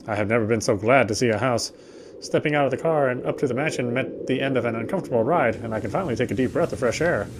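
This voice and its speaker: A man hosting a let's play, reading visual novel narration aloud in an expressive storytelling manner. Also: mid-range voice, a little hiss or hum.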